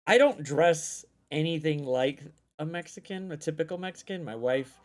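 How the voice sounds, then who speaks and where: Male stream host, fairly high voice, excitable, profane, rambling. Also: moderate pace. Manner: excitable, swears freely, repeats himself. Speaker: streamer hosting a guest chat. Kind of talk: loose casual chat. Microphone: desk microphone.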